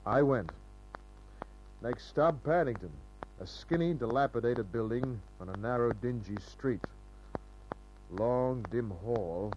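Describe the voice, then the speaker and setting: A man's voice: medium pitch, lightly acted. A man playing a cynical, laconic private detective in a 1950s-style radio crime drama. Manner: laconic, deadpan, wisecracking. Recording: dull, hissy old radio recording with hum.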